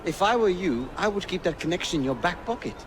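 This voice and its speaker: A man playing a courteous, earnest shopkeeper spymaster in a video game, speaking in a measured, formal way. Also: high-pitched, animated, background noise. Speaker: mentor, shop keeper. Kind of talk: video game cutscene acting.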